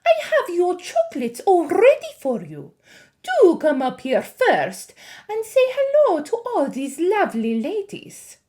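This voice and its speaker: A woman's voice: very high voice, wildly swooping pitch, measured pace, warm tone, pitched above the performer's own voice, heavily acted. A woman voicing a cruel, theatrical female witch leader in a storybook reading. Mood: cooing. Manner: shrieking, theatrical.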